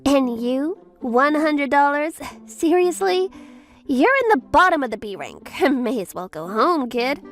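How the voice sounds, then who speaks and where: Woman acting a very high-pitched bubbly teacher. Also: very animated, a little slow, fairly bright tone, soft background music, exaggerated voice. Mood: brisk, condescending. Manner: gushing, peppers talk with French phrases. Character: bubbly, money-obsessed young female schoolteacher. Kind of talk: manga dub voice acting.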